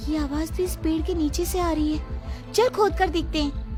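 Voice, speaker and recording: Bold young cartoon heroine, adult female performer: high, determined, commanding, over faint music. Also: steady tone, normal speed. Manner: confident, commanding. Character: bold, determined elder sister, a young girl. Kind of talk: Hindi cartoon voice acting.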